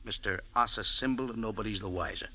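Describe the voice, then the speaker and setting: An actor playing a tough, wisecracking cafe owner who narrates in a clipped, hard-boiled style, in 1940s radio acting. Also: male voice, on the low side, fast talker, lightly acted. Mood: dry. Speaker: lead and narrator.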